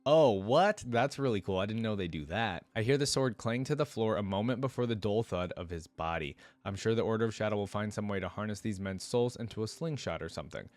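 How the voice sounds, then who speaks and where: Male reaction host, lowish voice, reads narrative prose aloud with informal, slangy commentary. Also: quick pace, few pauses, no room sound. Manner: casual, informal asides with slang. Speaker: reaction video host reading a story aloud.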